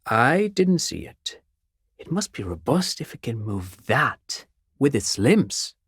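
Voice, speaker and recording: A man's voice: mid-range voice, very animated, slow, a bit bright, husky, dry recording, studio quality, heavily acted. An actor playing an eager, erudite academic consultant in a game, articulate and long-winded, musing and politely hedging as he speaks. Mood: fascinated, musing.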